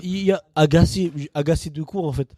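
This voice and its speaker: A man's voice: a little high, a little fast, no echo. A young man from northern France, a comedian guest chatting casually on a podcast and acting out quoted people. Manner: animated, acts out quotes in shifted voices.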